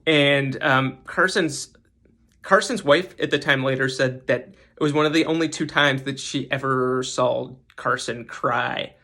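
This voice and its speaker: A man's voice: mid-range voice, measured pace, sharp tone. A man who hosts a podcast, giving casual, emphatic commentary and often repeating words for stress. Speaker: podcast host giving a guest segment.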